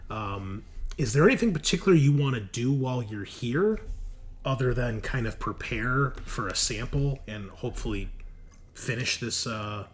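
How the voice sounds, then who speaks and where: A man narrating an improvised tabletop game as game master, in a halting, conversational way. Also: medium pitch, expressive. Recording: clearly noisy background.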